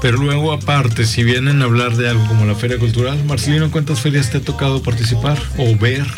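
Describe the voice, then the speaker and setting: A man hosts a live radio show from a studio, chatty and expressive in his delivery. Music plays clearly beneath his voice. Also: mid-range voice, fast talker. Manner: warm, chatty, expressive, lively intonation. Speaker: radio host. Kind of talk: live university radio chat.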